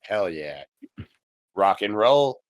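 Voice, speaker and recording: A man's voice: on the low side, big pitch swings, takes it slowly, dry recording. Male podcast commentator, leisurely pace, casual chat, very little room sound.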